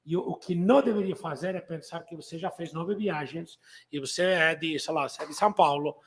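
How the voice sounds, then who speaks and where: A man's voice: on the high side, fairly quick, husky. A middle-aged man whose first language is not Portuguese, with a Brazilian-learned accent, presenting a travel club to his viewers in rambling casual talk that repeats and restarts words and keeps adding "né?". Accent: non-native Portuguese, Brazilian-learned.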